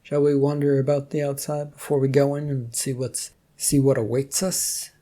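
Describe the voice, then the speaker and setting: A storyteller voicing a nervous, unsure young man who talks in a halting, stammering way. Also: mid-range voice, flat delivery, dark tone, natural voice.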